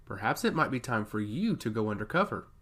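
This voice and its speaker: A man's voice: medium pitch, big pitch swings, a little fast, a bit bright. Man voicing a commanding, calculating fantasy lord.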